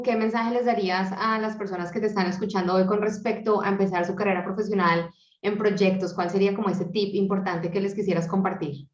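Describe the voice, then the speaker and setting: Woman hosting a webinar, encouraging, reflective, sounds roomy and a bit muffled. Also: medium pitch. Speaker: webinar host. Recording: echoey, dull lo-fi video call.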